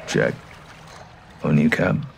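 A man's voice: slow, noisy background, natural voice. An actor playing a loyal, alert male soldier giving short, clipped replies to his captain in a military video game.

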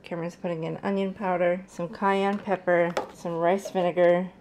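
A woman's voice: lowish voice, flat delivery, normal speed, noisy background. A woman in her thirties chatting casually and playfully while cooking and cleaning in her home kitchen, recorded on a camera mic. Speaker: vlogger. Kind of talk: casual vlog chat.

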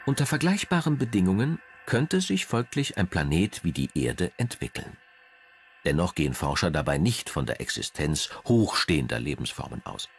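A man narrating a scripted documentary in a measured, dramatic storytelling manner. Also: low voice, sing-song, soft background music.

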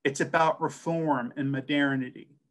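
A man's voice: on the high side, fairly even intonation, normal speed, a bit bright. A middle-aged man from the American West speaks into a webcam on a video call. He talks in an earnest, storytelling way, with many uh fillers and restarts. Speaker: translator and scholar giving a talk. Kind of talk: personal presentation on a video call.

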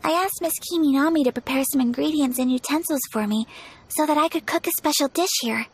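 An actress playing a polite, gentle young noblewoman in a video game, speaking formally and softly. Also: sits high, fairly quick. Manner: polite, formal, soft-spoken. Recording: game audio with faint music.

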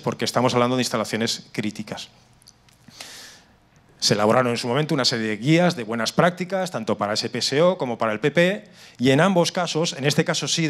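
A man's voice: mid-range voice, a bit bright. A middle-aged man with a Spanish accent from Spain gives an explanatory conference presentation into a handheld microphone, often restarting and repeating words. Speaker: conference speaker on cybersecurity. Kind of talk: live conference presentation with slides. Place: indoor conference room with seated audience.

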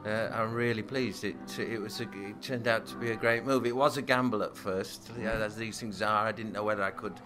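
A low-pitched man speaks in a flat, level tone, stumbling and restarting as he answers an interviewer. Music plays clearly behind him. He talks as a musician describing his work. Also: brisk, few pauses. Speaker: musician and studio owner interviewed.

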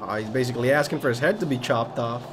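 A man's voice: medium pitch, quick pace, music in the background. A young man reacting live to an anime episode in his bedroom, exclaiming, swearing and laughing as he watches. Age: young adult. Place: bedroom with posters, indoors.

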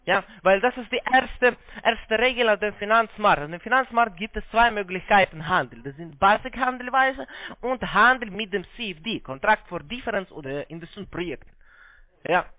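High-pitched foreign-accented man on the phone. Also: lively intonation, dry recording. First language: not German. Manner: halting, broken grammar. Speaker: call-centre investment salesman. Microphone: telephone. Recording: muffled band-limited phone line.

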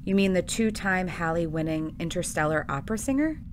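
Woman as a lowish-voiced, skeptical hotel manager. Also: animated, fairly quick, slight background noise, lightly acted. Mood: resigned, dry. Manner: dry, unimpressed. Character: skeptical, weary female hotel manager minding the budget. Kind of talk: comedic audio drama acting.

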